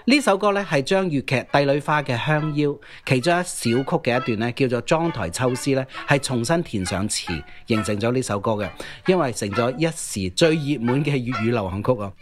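A man's voice: mid-range voice, expressive, fairly quick. A man with a Cantonese accent presenting music radio in a conversational, explanatory way. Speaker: host and DJ of a music history programme.

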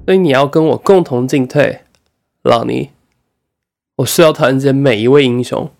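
An actor playing a weary, resolute rebel spymaster in an intense, declamatory radio drama style. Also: male voice, mid-range voice, normal speed, vocal fry, darkish tone, close to an everyday voice. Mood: fierce resolve. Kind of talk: dramatic dubbed radio-play monologue.

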